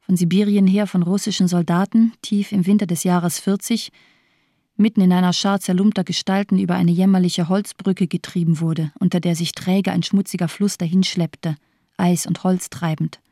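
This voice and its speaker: A woman's voice: on the low side, flat delivery, moderate pace, mellow, no room sound, clean studio sound. An actress playing an embittered, disillusioned woman survivor in a radio drama, speaking coldly with bitter sarcasm. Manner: cold, lifeless, sardonic delivery.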